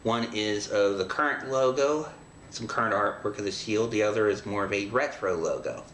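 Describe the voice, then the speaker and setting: A middle-aged man hosting a show, talking casually to the viewer in a halting, rambling, conversational way. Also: lowish voice, fairly bright tone. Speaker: host of an audio drama show. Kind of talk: casual show introduction to camera. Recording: echoey room with background hiss.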